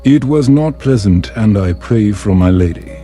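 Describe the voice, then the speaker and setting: A man voicing a quiet, careful young highborn lady, anxious but dignified, in a fantasy bedtime story. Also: lively intonation, normal speed, faint music behind, natural voice. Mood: quiet sorrow.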